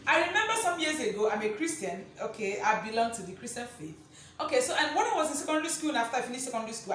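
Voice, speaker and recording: A woman with a loud, projecting voice giving a motivational teaching talk, emphatic, repeating key phrases and putting rhetorical questions to listeners. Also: a little high. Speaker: motivational speaker and presenter. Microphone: distant camera mic, no microphone on speaker. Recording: echoey room, distant mic, faint noise.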